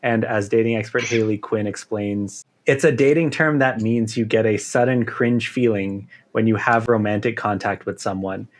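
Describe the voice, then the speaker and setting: A man's voice: on the low side, steady tone, moderate pace, hardly any pauses. A man co-hosting a podcast, talking in a casual, conversational style. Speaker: podcast co-host and editor. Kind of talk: casual chat.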